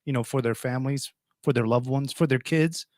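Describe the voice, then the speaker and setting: A man's voice: medium pitch, fairly quick, a little mellow, no echo, clean studio sound. A man in his forties from Portugal, hosting a radio talk show in a plainspoken, opinionated, conversational way. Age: 40s. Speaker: radio host.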